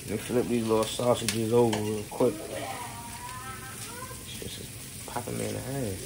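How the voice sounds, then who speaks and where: A man's voice: lowish voice, flat delivery, a little slow, gravelly. Joking young man filming a kitchen vlog. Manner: joking, slangy, talks to viewers as y'all. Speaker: vlogger. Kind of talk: casual cooking vlog chat. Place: small apartment kitchen, indoors. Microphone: handheld phone camera. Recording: noisy kitchen with frying sizzle.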